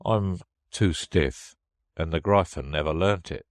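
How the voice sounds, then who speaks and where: Man voicing a deep, hollow, mournful Mock Turtle. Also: no echo, clean studio sound. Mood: wistful. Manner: slow, mournful, sighing. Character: melancholy, sighing old Mock Turtle, easily offended. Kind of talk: storybook character reading.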